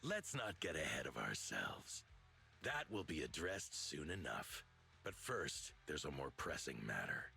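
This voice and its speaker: An actor playing a stern, dignified overlord of a motor league in a video game, speaking in a commanding, formal way. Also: male voice, rumbling low, huge pitch swings, unhurried, creaky, bright, forceful tone, faint music behind, heavily acted. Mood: stern, condescending.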